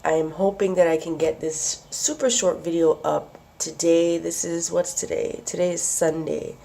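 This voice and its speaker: Young woman vlogger, hoarse and sniffly from a cold, chatty and giggly, low gravelly voice. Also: fairly even intonation, unhurried, warm tone. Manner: chatty, laughs often. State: losing her voice, sick and sniffly. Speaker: craft vlogger. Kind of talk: casual show-and-tell.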